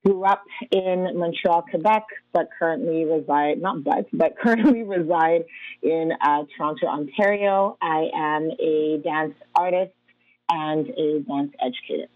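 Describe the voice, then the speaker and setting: A woman's voice: low-pitched, a little slow, no room sound. A woman from Ghana, a dance artist and educator, answering interview questions in an easygoing way with frequent laughs and ums. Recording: tinny phone-like line, dry.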